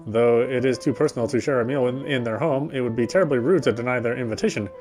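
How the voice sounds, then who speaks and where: A man reads a visual novel's narration aloud during a let's play. His voice has a middling pitch, and game music runs behind it. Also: quick pace, darkish tone.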